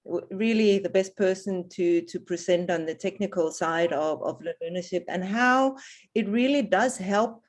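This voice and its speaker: A woman's voice: medium pitch, moderate pace. Hesitant woman presenting on a video call. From not South African. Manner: hesitant, many restarts and fillers. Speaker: webinar presenter, researcher. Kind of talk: online webinar presentation.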